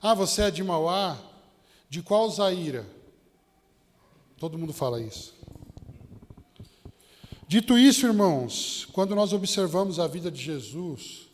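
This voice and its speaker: A man with a São Paulo Brazilian accent preaching a sermon as a Baptist pastor, addressing his listeners directly with rhetorical questions and anecdotes. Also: sits high. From São Paulo state, Brazil. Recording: long hall reverb.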